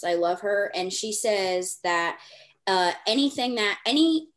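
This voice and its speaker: A woman hosting a podcast in casual interview chat, an animated, gushing storyteller who acts out quotes. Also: lowish voice. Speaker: podcast host.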